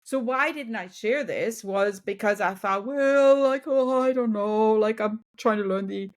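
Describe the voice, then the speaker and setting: A woman's voice: a little high, measured pace. A middle-aged woman from Germany with a German accent, a native German speaker, giving motivational advice in a conversational interview and often checking in with the listener. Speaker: business and wellness coach, podcast guest.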